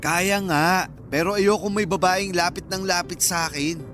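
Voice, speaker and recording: A man's voice: high voice, steady tone, moderate pace, a bit bright, soft background music. A man playing a cocky young Filipino in a radio romance drama, playful and boastful as he teases his cousin. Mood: persuasive. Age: young adult.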